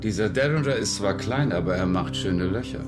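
An actor playing a cocky, menacing outlaw swindler in a German radio western, mockingly polite and given to barked threats. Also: male voice, lowish voice, a little fast, over loud music, close to an everyday voice. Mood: mocking, smug.

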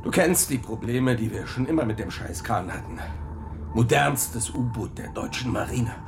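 Gruff submarine commander, swooping pitch. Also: male voice, lively intonation, normal speed, background noise. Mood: grim. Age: middle-aged. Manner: gruff, blunt, swearing. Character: gruff, loyal middle-aged submarine commander, hot-tempered. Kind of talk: radio drama acting.

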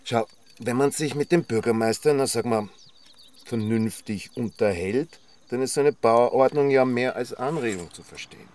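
A man's voice: mid-range voice, moderate pace, darkish tone, recorded from a distance, lightly acted. Village gossip for radio play, male actor: Austrian, cagey, confiding, medium register, slightly off-mic. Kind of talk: radio drama dialogue.

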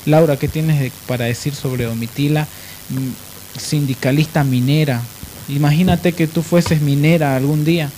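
Un hombre con acento boliviano que conduce un programa de radio hablado, contando biografías de manera informal y corrigiéndose al hablar. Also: male voice, fairly high pitch, fairly even intonation, normal speed, darkish tone, poor audio. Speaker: radio show host. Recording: clear background hiss.

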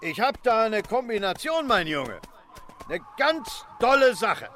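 An actor playing a gruff, pompous, irritable middle-aged British colonel of colonial days in a comic radio play. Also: male voice, high voice, sing-song, measured pace, sharp tone, slight background noise, full character voice. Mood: excited scheming.

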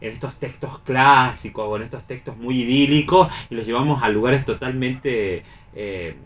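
A man's voice: mid-range voice, normal speed, a bit bright. A man with an Argentine accent talking reflectively as a panelist in a round-table radio discussion.

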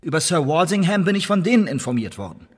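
Husky, defensive accused spy. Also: male voice, fairly high pitch, sing-song, fast talker. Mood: agitated insistence. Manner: emphatic, formal pleading. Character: accused male spy, defensive, earnest, stubbornly protesting his innocence. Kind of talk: German radio play acting. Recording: reverberant hall-like radio drama room.

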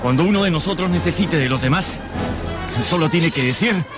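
An actor playing a loyal, good-natured musketeer in a radio drama. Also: male voice, fairly high pitch, normal speed, close to an everyday voice. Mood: fond, resolute. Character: loyal musketeer comrade, pious and cheerful. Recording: music behind the voice.

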